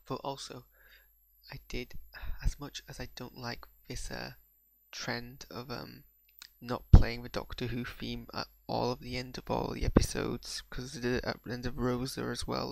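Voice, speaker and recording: A man's voice: medium pitch, creaky, darkish tone, dry recording, rough recording. A young man whose accent mixes Bradford and Pakistani speech, giving a hesitant, unscripted reaction review and often repeating words as he gathers his thoughts. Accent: mixed Bradford and Pakistani. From England. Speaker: video reviewer.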